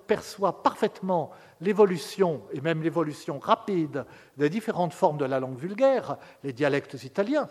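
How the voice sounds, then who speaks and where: A man giving an academic lecture, measured and pausing often with hesitations. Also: high-pitched, in a large hall.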